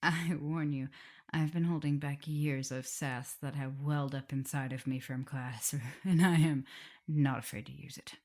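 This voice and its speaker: A woman playing an affectionate, teasing vampire mistress with a prim teacherly side, in a soft, intimate, often whispered ASMR roleplay. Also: low voice, steady tone, measured pace. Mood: playfully sassy. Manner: tender, playful, hesitant murmuring.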